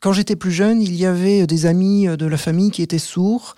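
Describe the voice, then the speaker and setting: Calm teacher guy, dark mellow voice, dry close sound, explains slowly with lots of euh. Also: high-pitched, no echo. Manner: measured, explains step by step with frequent "euh" pauses. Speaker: maths teacher, podcast guest. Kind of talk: explanatory interview answers.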